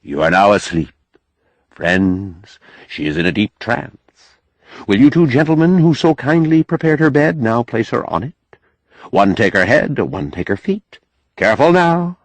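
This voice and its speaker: A man's voice: mid-range voice, expressive, unhurried, no echo, voice pushed up. A man voicing a stage magician with mildly puzzled, offhand showman's patter in an audiobook. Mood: coaxing showman. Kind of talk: audiobook character acting.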